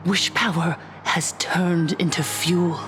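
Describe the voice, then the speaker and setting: A woman's voice: lowish voice, steady tone, over music. A woman playing a fervent cult preacher in a game, delivering a solemn, rousing, theatrical sermon about happiness. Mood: grieving, indignant. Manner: solemn, measured, rousing oratory. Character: fervent female cult preacher promising happiness, smiling and zealous.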